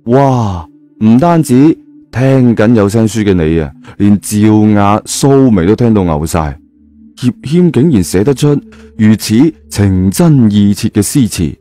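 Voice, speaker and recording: A man's voice: on the low side, animated, unhurried, dark tone. A man narrating an audiobook in a dramatic, colloquial storytelling style. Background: music.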